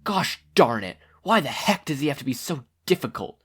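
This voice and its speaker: A whiny young villain from a storybook, as a reader plays him. He is petulant and easily exasperated. His pitch leaps about as he talks. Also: very animated, normal speed, fairly bright tone, breathy edge. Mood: furious, whining. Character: petulant, tantrum-prone young male villain.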